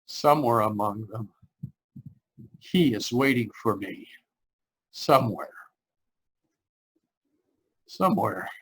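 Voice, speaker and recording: A man acting a distraught, haunted traveler in an old-time radio suspense play, with a halting, dramatic delivery. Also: medium pitch, gravelly, husky, exaggerated voice, low-quality recording. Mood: haunted, brooding. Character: distraught male traveler, shaken, haunted, near breaking.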